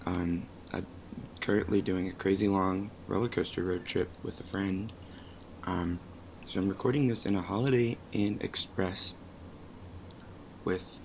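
A man in his early twenties co-hosting a podcast casually, his voice hoarse and worn out, recorded in a hotel room. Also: low-pitched, slight background noise. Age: early 20s. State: hoarse from losing his voice, tired. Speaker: podcast co-host. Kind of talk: casual pop culture podcast chat.

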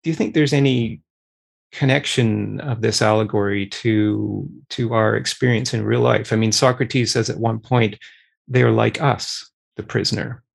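Low voiced guy running a discussion, gravelly, calm questions. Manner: measured, thoughtful questioning. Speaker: discussion moderator. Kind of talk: moderating a group discussion.

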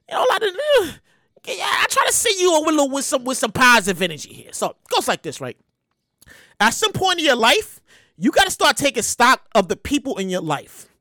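Lively, high-voiced New podcast host. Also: no echo, studio quality. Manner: animated, slangy, lots of repeats. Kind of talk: casual comedic monologue.

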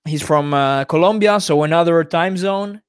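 Higher-voiced man hosting a podcast. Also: normal speed, smooth, dry recording, studio quality. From Italy. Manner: non-native English, hesitant with many uh fillers. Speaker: podcast host. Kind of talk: casual chat.

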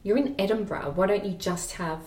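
A woman's voice: mid-range voice, a little fast, darkish tone. Chatty woman, distant and roomy. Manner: chatty, self-deprecating, laughs easily. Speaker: podcast guest, social media marketer. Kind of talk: casual podcast interview. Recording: roomy sound, distant from the mic.

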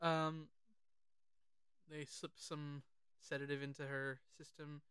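An excitable, sweary podcast host chatting casually about films. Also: medium pitch, slow pace, clear, resonant tone, dry recording, clean studio sound. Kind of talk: casual film chat with quoted lines.

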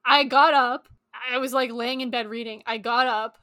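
A woman with a high, bright voice chats with her friend on a book podcast. She is expressive and swears freely. The sound is dry and close. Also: moderate pace, no echo. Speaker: podcast co-host. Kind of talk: casual book chat.

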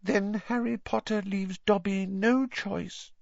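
A squeaky, strained little elf, pitched very high and swooping. He is anxious, devoted and servile, pleading earnestly like a storybook creature. The sound is dry and a little dull. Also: steady tone, slow pace, vocal fry, no room sound, full character voice. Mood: insistent. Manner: pleading, servile, refers to self in third person.

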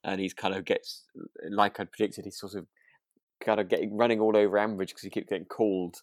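Man, deep voice, dry close sound, casual podcast chat, hesitant phrasing, easily amused. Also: little pitch movement, fairly quick, dry recording. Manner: hesitant, often rephrasing and repeating words; amused, chuckling often. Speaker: podcast co-host. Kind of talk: casual chat recapping a radio drama.

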